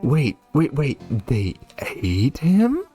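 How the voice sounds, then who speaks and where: A man's voice: fairly high pitch, wildly swooping pitch, extremely slow, warm tone, faint music behind, voice pushed up, exaggerated voice. A man voicing a curious, whiny young boy in a horror game, plaintive and questioning.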